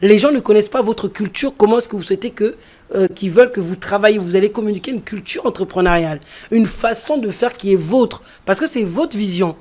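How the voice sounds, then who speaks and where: A woman's voice: mid-range voice, fairly quick, darkish tone. A woman who grew up in France, talking as a guest in an interview and explaining things step by step, repeating key phrases for emphasis. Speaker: entrepreneur guest. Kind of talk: interview talk.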